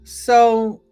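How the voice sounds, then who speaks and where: A woman's voice: fairly high pitch, warm tone. A woman hosting a podcast, chatting casually to her listeners. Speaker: podcast host.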